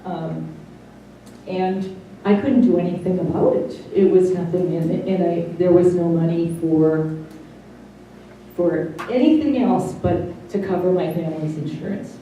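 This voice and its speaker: A middle-aged woman giving a personal testimony, speaking slowly and haltingly with carefully over-articulated consonants. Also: fairly low pitch, warm tone, dull audio. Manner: slow and halting, many pauses. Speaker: interviewee. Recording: roomy, distant mic, faint hiss.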